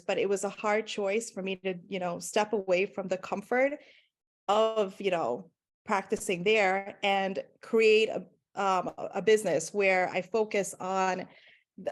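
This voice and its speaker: A woman in her thirties from Guyana, a pharmacist guest answering interview questions in an earnest, rambling way with many fillers. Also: mid-range voice.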